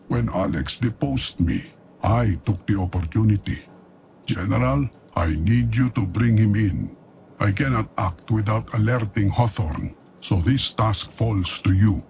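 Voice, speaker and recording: An actor playing a deposed older male dynasty founder, speaking slowly and gravely in a conspiratorial briefing for a video game cutscene. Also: lowish voice, slight background noise, heavily acted.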